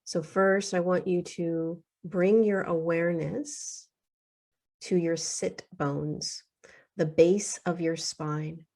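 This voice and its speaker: Slow, low-voiced woman leading meditation. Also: fairly even intonation, a little mellow. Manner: soothing, unhurried, with long pauses between short phrases. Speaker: guided meditation leader. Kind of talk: guided meditation.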